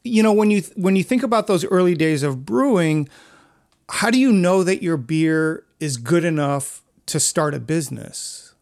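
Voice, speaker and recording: A male podcast host with a fairly high voice and a strong gravelly creak. He interviews in a loose, casual chat style. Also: expressive, moderate pace, a little mellow.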